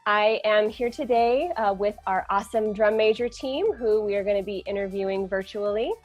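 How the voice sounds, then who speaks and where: A woman's voice: mid-range voice, moderate pace, dull audio, faint music behind. A woman on the admin team interviewing drum majors over a video call, friendly and upbeat.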